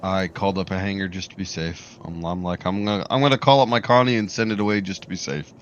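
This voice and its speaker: A man's voice: sits low, fast, muffled recording, slight background noise. A man in his forties chatting and joking with a friend while they play a game together over voice chat. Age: 40s. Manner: joking, lively banter. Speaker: fellow player. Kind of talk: casual chat over voice call while gaming.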